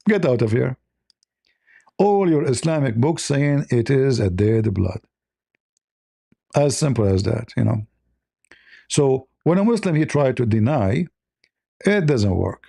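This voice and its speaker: A man's voice: on the high side, vocal fry, warm tone, no echo, clean studio sound. A man whose first language is Arabic, hosting a heated live debate, combative and mocking, repeating himself insistently. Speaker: debate stream host.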